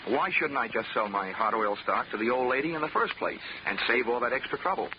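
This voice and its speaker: An American actor playing a hard-boiled, sardonic investigator in a 1940s radio drama, dry and wisecracking. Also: male voice, medium pitch, expressive, brisk, slight background noise, close to an everyday voice. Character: wry, hard-boiled male private investigator, sardonic and unflappable. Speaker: lead actor and narrator. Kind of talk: 1940s radio detective narration and acting. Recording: muffled 1940s radio recording.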